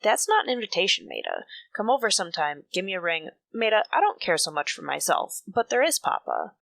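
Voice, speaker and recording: A woman plays a resentful younger woman in a radio soap. Her low voice swings widely in pitch and crackles with fry as she talks bluntly. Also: wildly swooping pitch, brisk, fairly bright tone, dry recording, full character voice. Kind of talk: radio soap drama acting.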